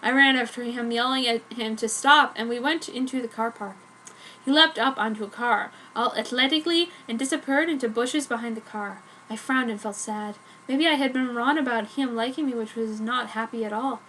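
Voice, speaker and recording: A woman's voice: fairly high pitch, moderate pace, bright, forceful tone, rough recording. A woman narrating amateur fan fiction in the first person as a theatrical dramatic reading. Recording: noticeable background noise.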